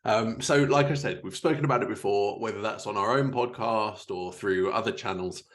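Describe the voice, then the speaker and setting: A man's voice: fairly low pitch, moderate pace, fairly bright tone. A man hosting a casual podcast conversation about his work, speaking as a writer. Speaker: podcast host and fiction writer.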